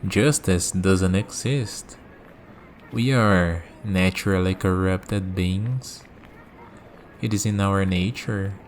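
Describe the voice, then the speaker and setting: A man acting as a cynical male villain who holds godlike power, in an ASMR roleplay. He speaks very slowly in a low voice, measured and calm, leaving dramatic pauses. Noticeable urban background noise sits behind him.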